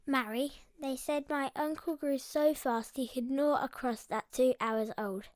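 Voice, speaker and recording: A woman's voice: sits high, steady tone, measured pace, darkish tone, dry recording. A woman playing a precocious, cheeky young boy prince, telling tales in careful verse in a Shakespearean audio drama.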